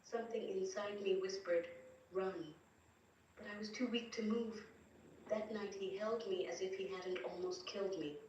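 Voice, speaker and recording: A woman's voice: mid-range voice, fairly even intonation, moderate pace, a little mellow, highs cut off, natural voice. A woman as a haunted, echoey, grieving wife. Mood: haunted, fearful. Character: terrified, grieving young wife recounting abuse from beyond death. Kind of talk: first-person dramatic story narration. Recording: distant, very echoey, faint noise.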